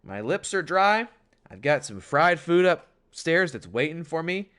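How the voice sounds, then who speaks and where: A hoarse man commentating a wrestling video game on a stream, with animated, chatty hype. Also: a little high, measured pace. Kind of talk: play-by-play commentary.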